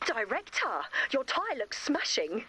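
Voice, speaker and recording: A woman's voice: fairly high pitch, huge pitch swings, measured pace, sharp tone, slightly rough, exaggerated voice, poor audio. A woman playing a self-assured, breezy young builder and proud matchmaker in a video game. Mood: proud, excited. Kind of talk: animated video game voice acting.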